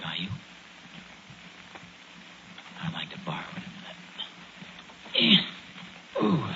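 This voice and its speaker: A man's voice: sits low, pushed and bright, exaggerated voice. An American actor playing a genial but stubborn suburban father in a 1940s radio comedy, often hesitant and stammering when flustered. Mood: fumbling, distracted. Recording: noisy, muffled 1940s radio recording.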